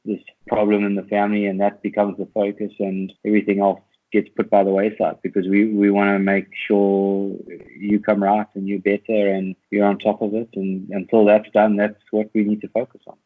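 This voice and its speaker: A man's voice: lowish voice, completely flat delivery, normal speed. A man with a South African accent, talking as a podcast guest over an internet call, thoughtful and hesitant, often restarting his sentences. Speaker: podcast guest, older brother. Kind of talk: casual conversation. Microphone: Skype call. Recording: tinny internet call audio.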